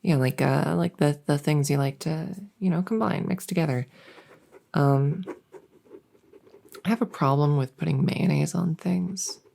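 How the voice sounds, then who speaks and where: Woman as a rambling, low-voiced monster under the bed. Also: measured pace, creaky, warm tone, heavily acted. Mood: chatty, confiding. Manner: rambling, stammering, earnest and chatty, trailing off. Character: silly, awkward female monster living under a bed, bungling her job of scaring. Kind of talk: comedic ASMR audio roleplay. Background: writing sounds.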